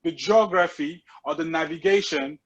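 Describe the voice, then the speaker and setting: Earnest man, slightly high voice. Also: lo-fi sound. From Cameroon. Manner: earnest, animated storytelling with restarts and emphatic drawn-out words. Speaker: guest, sneaker customizer and reseller. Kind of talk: conversational interview.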